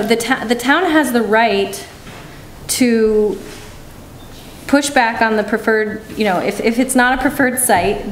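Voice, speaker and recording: A woman serving as a town's legal counsel, speaking carefully and with measured restarts at a public board meeting. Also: mid-range voice. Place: town meeting hall. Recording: echoey hall, faint noise.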